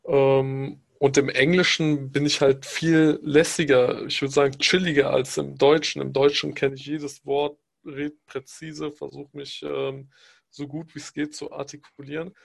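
Gravelly man, Pashto native speaker. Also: on the high side, level tone. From Afghanistan. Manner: measured, reflective, many hesitation fillers. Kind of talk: casual podcast discussion.

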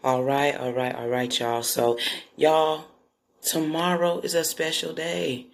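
A woman with a low voice who hosts a devotional podcast and leads worship at her church, talking casually and warmly to her listeners. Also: little pitch movement, slow pace, vocal fry. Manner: chatty and encouraging, often addresses listeners as "y'all".

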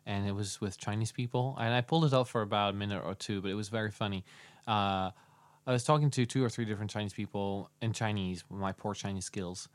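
A man hosting a podcast in a jokey, loose, halting way, chatting with a guest. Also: fairly low pitch, fairly even intonation, no echo. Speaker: podcast host. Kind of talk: casual interview chat.

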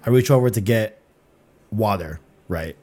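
A man's voice: fairly low pitch, a little slow, a little mellow. A man hosting a casual podcast chat, laid-back and slangy, often trailing off and repeating phrases. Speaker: podcast host.

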